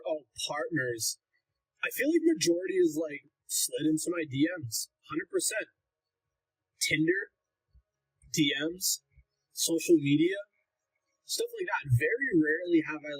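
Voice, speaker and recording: Young male streamer with a husky, slightly high voice. Blunt and rambling, working through chat questions one by one. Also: pushed and bright, low-quality recording. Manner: blunt, rambling, heavy use of "like". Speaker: livestreamer answering chat. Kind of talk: casual livestream Q&A.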